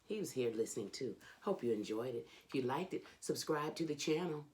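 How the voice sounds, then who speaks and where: A woman reading a children's storybook aloud as host, with theatrical, emphatic delivery and drawn-out phrases. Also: very deep voice, animated, darkish tone.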